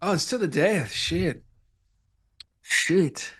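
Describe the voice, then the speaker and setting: A man in casual conversation, his voice a little higher than average. His pitch moves around in a very animated way, while his pace stays slow. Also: slightly rough. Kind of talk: casual chat.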